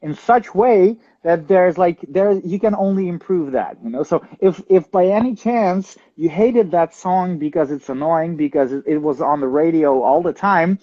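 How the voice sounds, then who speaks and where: A young man from Ukraine answering interview questions, thoughtful and halting, with many fillers and restarts. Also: on the high side, mellow, highs cut off. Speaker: guest drummer.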